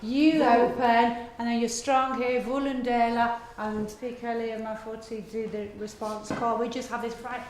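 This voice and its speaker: A woman's voice: on the high side, little pitch movement, moderate pace, hardly any pauses, a little mellow, a little hiss or hum. A woman talking through parts during a rehearsal in an echoey room. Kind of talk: rehearsal talk.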